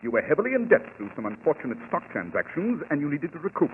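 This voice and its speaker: On a crackly, thin old radio recording, a keen English gentleman detective. His speech is precise and formal, pitched fairly high, swinging widely with theatrical command and complete self-assurance. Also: very animated, quick pace, phone-quality sound. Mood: assured, explaining. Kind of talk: 1940s radio acting.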